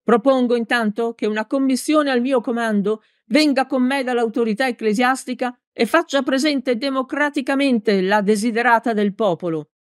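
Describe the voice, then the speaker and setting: A woman's voice: mid-range voice, lively intonation, moderate pace, no room sound, clean studio sound, voice pushed up, lightly acted. A woman voicing a big, hot-tempered male village mayor in a storybook, blustering and clumsily formal with emphatic delivery. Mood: shouting, rousing.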